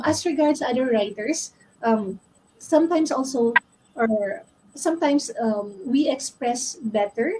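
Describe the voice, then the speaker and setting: Filipino woman author, mellow voice. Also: a little high, a little hiss or hum, rough recording. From Philippines. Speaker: author on a panel. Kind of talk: panel discussion answer.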